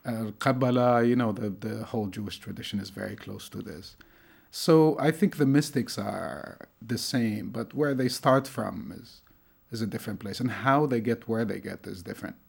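A middle-aged man from Tunisia, a lecturer, talking thoughtfully in an interview, with many pauses and fillers. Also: lowish voice, vocal fry, slightly rough. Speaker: lecturer in Arabic studies. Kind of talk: conversational interview.

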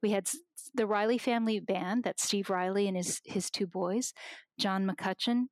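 A woman's voice: mid-range voice, fairly even intonation, normal speed, no room sound. Woman, guest, enthusiastic, casual chat, dry close sound. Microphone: remote recording outside the studio.

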